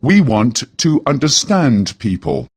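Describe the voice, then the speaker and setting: Husky male voice, lively pitch, slow lesson translations. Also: dry recording, studio quality. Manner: deliberate, measured delivery. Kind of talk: slow spoken translations for learners.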